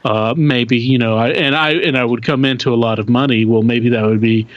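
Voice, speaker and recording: A man's voice: medium pitch, steady tone, no room sound. Casual male podcaster, dry muffled sound. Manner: halting, informal slacker speech with dude and man. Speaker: podcast co-host.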